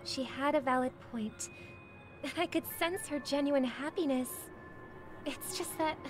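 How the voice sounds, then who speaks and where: High-voiced gracious young celebrity. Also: female voice, measured pace, music in the background. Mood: earnest. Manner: polite, gentle, formal. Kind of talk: dramatic video game voice acting.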